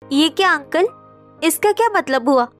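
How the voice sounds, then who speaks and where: A woman playing an indignant young modern flat-hunter in a story drama, with a protesting, plaintive manner. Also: sits high, normal speed, soft background music. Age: young adult. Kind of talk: acted Hindi story dialogue.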